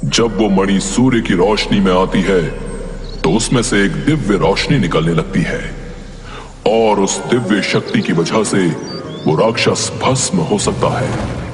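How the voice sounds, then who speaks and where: Man voicing a deep, echoing, solemn tree spirit. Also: a little slow, close to an everyday voice. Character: cursed male angel trapped as a talking tree, gruff, commanding. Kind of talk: Hindi cartoon story voice acting. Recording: echoing hall reverb, faint music behind. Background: music.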